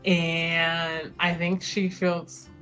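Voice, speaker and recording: A woman's voice: on the low side, level tone, extremely slow, fairly bright tone, faint music behind. A woman player narrating her roleplaying character casually, in an informal, rambling, sweary way. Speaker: player in a tabletop roleplaying game.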